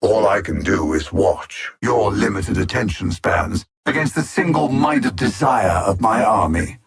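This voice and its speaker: An actor voicing a haughty alien machine intellect, a conquering video game villain who speaks with precise, condescending menace. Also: male voice, lowish voice, lively intonation, a little slow, husky, exaggerated voice. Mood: aloof, calculating.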